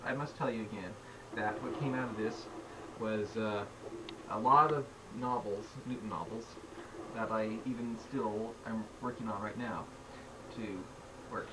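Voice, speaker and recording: Rambling middle-aged man, heard from afar. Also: on the low side. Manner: rambling, repetitive, excited, stumbling restarts. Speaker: toy collector showing his childhood play. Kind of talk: casual home-video show-and-tell. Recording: distant home-video mic with noise.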